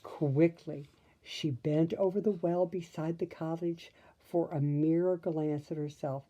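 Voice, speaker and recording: Slow, very mellow woman storyteller. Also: deep voice, fairly even intonation, lo-fi sound. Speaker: storybook reader. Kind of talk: expressive children's story reading with asides to listeners.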